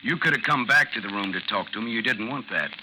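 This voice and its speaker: Embittered war captain: low, terse, defiant, widely swinging pitch, old crackling radio drama. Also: male voice, lively intonation, quick pace, bright, forceful tone. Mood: stubborn, impatient. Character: embittered, stubborn war veteran captain bent on killing. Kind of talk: 1950s radio drama acting. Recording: noisy, crackling 1950s radio recording.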